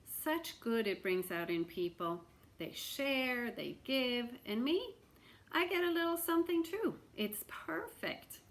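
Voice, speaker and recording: A woman's voice: a little high, expressive, takes it slowly, voice pushed up. A woman voicing a wily male beggar in a storybook read-aloud, imploring and theatrical, with exclamations and a persuasive manner. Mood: musing. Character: wily, pleading old-world male beggar, dramatic and persuasive.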